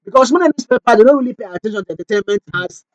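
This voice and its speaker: Male radio music pundit, Nigerian accent, high voice, dry close sound, emphatic and opinionated, hammers points home. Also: animated, racing, no room sound, dull audio. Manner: emphatic, repeats points for stress, checks listener understands. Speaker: music commentator and radio guest.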